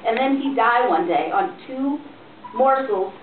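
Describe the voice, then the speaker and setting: Woman as an earnest halting foreign student, echoey. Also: fairly high pitch, animated, far from the mic. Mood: earnest, groping. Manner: halting, earnest broken grammar. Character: earnest foreign language students fumbling broken phrases. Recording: tinny, echoey, noisy room recording from afar.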